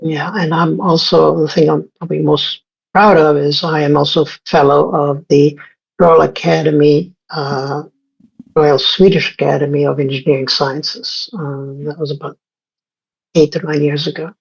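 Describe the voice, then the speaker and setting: A man in his sixties from Egypt speaks as an interview guest, his voice husky with a gravelly edge. He talks in a halting way, full of fillers and self-corrections. The recording sounds dull and lo-fi. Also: on the high side, little pitch movement. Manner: halting, many fillers and restarts. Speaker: guest scientist. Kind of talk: casual interview talk.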